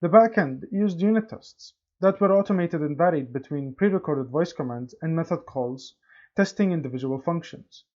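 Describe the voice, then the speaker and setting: A man's voice: a little high, lively intonation, normal speed. A young man, a student presenter, reading a scripted technical report. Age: young adult. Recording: slightly dull, lo-fi microphone.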